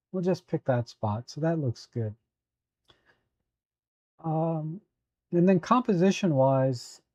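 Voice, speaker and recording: A hoarse man hosting a live photo editing tutorial, talking at an easy, halting pace with many fillers while answering viewers. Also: on the high side, dark tone, dry recording, dull audio. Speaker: photo editing livestream host.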